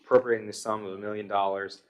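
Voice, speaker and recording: A man's voice: lowish voice, flat delivery, fast talker. An American man chairing a township meeting, formally reading out long ordinances and motions in a procedural way. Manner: procedural, reading long legal text aloud. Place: township public meeting room.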